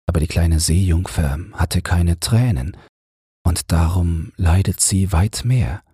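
A man narrates a fairy-tale audiobook meant for bedtime in a very low, heavily husky voice. He takes his time with an unhurried, soothing delivery. The sound is dry, with no room echo. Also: warm tone.

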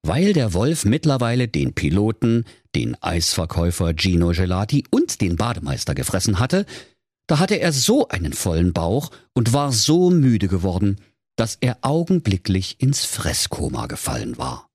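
Deep, husky male fairy-tale storyteller. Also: big pitch swings, normal speed, a little mellow, dry recording, clean studio sound. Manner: dramatic storybook telling. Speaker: storyteller, podcast host. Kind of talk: comic fairy-tale narration.

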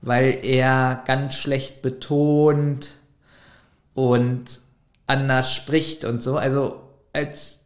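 A man's voice: mid-range voice, very slow, roomy sound. A man co-hosting a podcast, chatting casually and arguing animatedly while reviewing an audio drama. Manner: animated, argumentative, laughs often. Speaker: podcast co-host.

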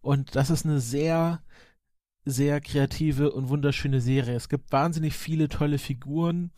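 A man with a mid-pitched voice talks as a podcast guest in a very dry recording. He is enthusiastic but rambles, often pausing to search for the next word. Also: mellow, no room sound. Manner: halting, rambling, hesitant mid-sentence. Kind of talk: enthusiastic casual talk about animated series.

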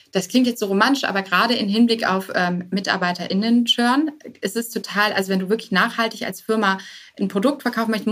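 Halting, self-correcting woman in conversation. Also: mid-range voice, fairly bright tone. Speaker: interview guest, start-up co-founder. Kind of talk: conversational podcast interview.

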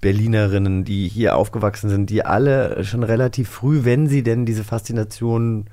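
A man's voice: lowish voice, steady tone, moderate pace, mellow. A man from rural Germany hosting a show, talking in a casual, conversational interview style. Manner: conversational, informal. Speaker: host of an audio drama magazine show.

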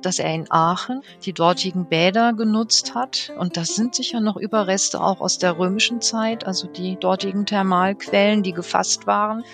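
A woman's voice: medium pitch, steady tone, normal speed, hardly any pauses, over music. A woman speaking as an expert in an interview, explaining off the cuff with informal asides. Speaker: expert interviewee, medieval historian. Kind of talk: spontaneous explanatory interview talk.

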